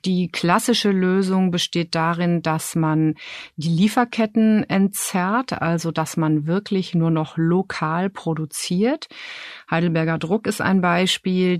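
A woman speaking as a business journalist in a podcast, explaining things in a measured way, step by step, with concrete examples. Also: on the low side, darkish tone, dry recording, studio quality.

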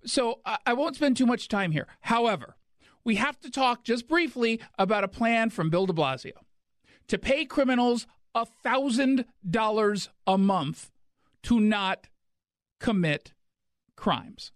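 A man's voice: very high-pitched, lively intonation, unhurried, no echo, clean studio sound. A man hosting a talk radio show, delivering an indignant, sarcastic monologue.

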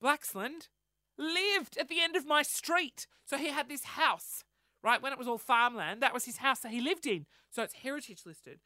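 A man with an Australian accent, hoarse from losing his voice, telling a rambling, sweary, self-mocking story on his solo podcast. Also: very high voice, animated, normal speed, pushed and bright, no room sound, clean studio sound. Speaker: podcast host.

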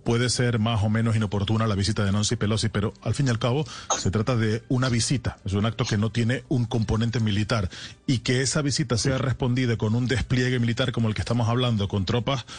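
A man's voice: mid-range voice, fairly even intonation, fast, husky. A man questioning the guest insistently with long, pressing questions. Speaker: co-host asking questions.

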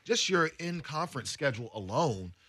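A man's voice: a little high, very animated, normal speed, sharp tone, no room sound. A man co-hosting a sports radio show, bantering in a loose, joking and emphatic way. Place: radio studio.

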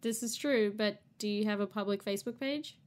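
A woman's voice: medium pitch, a little fast, a little mellow. A woman with a mild Australian accent co-hosting a podcast, chatting casually with lots of laughs and hesitant fillers. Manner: giggly, hesitant, many fillers. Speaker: podcast co-host.

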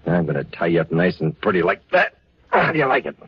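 A man playing a cocky, menacing gangster in a 1940s radio crime drama, with a husky voice and tough, slangy, taunting delivery. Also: low-pitched, huge pitch swings, quick pace, dry recording, heavily acted. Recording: dull, lo-fi 1940s radio recording.

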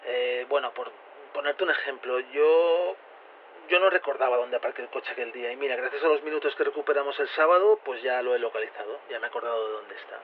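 A man's voice: mid-range voice, fast, natural voice. An actor playing a flustered, careful male researcher who answers interview questions hesitantly, repeating himself. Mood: earnest, flustered. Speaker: interviewee on a radio programme. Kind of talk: spontaneous-sounding phone-in interview. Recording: dull, noisy radio link.

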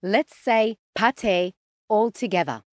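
A woman's voice: mid-range voice, lively intonation, slow pace, no room sound, studio quality. A woman hosting a children's podcast in a cheerful, sing-song presenting style.

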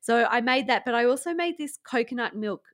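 An Australian woman co-hosting a casual chat about baking, a lively storyteller who reads passages with relish. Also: fairly high pitch, brisk. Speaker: podcast co-host.

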